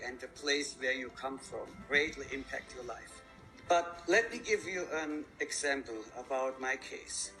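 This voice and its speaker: A man in his fifties who grew up in Germany speaks earnestly as a guest author. His voice sits a little high and bright, and he picks his words with care. Faint music plays underneath. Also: rough recording. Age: 50s. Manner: earnest, measured, slightly halting. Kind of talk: TV interview.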